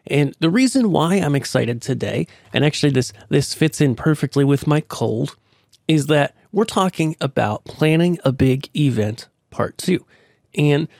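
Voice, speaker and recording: A man's voice: fairly high pitch, creaky, darkish tone, dry recording, studio quality. A man hosting his own podcast while under the weather with a cold, talking casually and rambling with many fillers. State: has a cold. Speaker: podcast host. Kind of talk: casual solo podcast talk.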